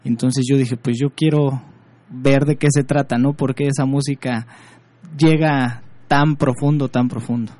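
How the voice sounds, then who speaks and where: Male musician guest, warm mellow tone, relaxed and reflective. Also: mid-range voice, a little slow, no room sound. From State of Mexico. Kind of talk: radio interview.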